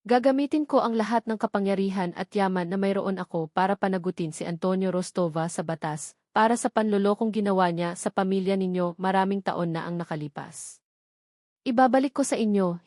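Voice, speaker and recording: A woman's voice: mid-range voice, a little fast, a little mellow, no room sound, clean studio sound, lightly acted. A woman voicing a remorseful, determined man in a romance storybook narration, solemn and earnest. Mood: solemn determination. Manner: solemn, contrite, resolute.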